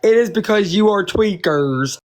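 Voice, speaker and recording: A woman hosting a casual livestream, rambling and swearing, her voice going hoarse from talking. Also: medium pitch, measured pace. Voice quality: profane, rambling, animated. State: losing her voice. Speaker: livestream host.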